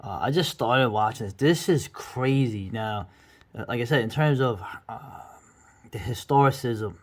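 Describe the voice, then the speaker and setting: A man's voice: mid-range voice, a little slow. A middle-aged man giving casual, rambling, enthusiastic commentary as the host of a video review.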